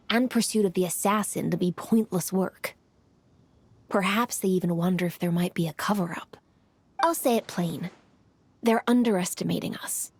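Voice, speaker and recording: Casting: a proud young female official in a game, mid-pitched, crisp and outspoken. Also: darkish tone. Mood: firm, scornful. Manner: crisp, assertive, formal. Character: proud, frank, sceptical young noblewoman official. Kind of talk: video game voice acting.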